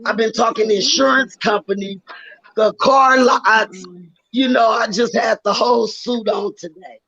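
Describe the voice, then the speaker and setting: A woman in her forties with a raspy, worn voice, telling stories loudly and with animation in a casual live-stream chat. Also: on the high side, measured pace. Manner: loud, animated storytelling. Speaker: guest rapper. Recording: dull lo-fi stream audio.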